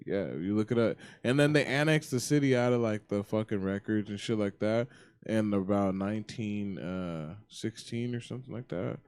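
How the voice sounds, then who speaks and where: A man's voice: lowish voice, normal speed, a little mellow, clear, resonant tone, dry recording. A young man co-hosting a podcast, from Southern California, telling loose, profane stories and laughing often in casual chat. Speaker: podcast co-host.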